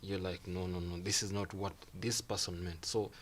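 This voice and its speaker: A man's voice: deep voice, moderate pace. A young man from Kenya, a student and guest, speaking casually and acting out the reactions he quotes. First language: Swahili. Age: young adult. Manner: relaxed, repeats phrases, acts out quoted reactions. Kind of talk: casual conversational interview. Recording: faint background noise.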